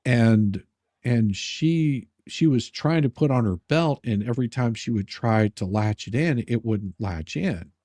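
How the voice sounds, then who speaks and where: A man telling personal anecdotes in casual chat, halting and rambling as he repeats his words. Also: mid-range voice, lively intonation, dark tone, no echo, studio quality.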